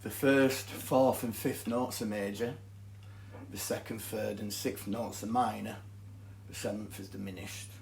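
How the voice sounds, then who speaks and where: A man's voice: fairly low pitch, steady tone, unhurried, creaky, slight background noise. A man with a thick Yorkshire accent, methodically explaining guitar scales and chords as a teacher. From Yorkshire, England. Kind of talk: guitar tutorial. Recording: echoey room with guitar playing. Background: guitar music.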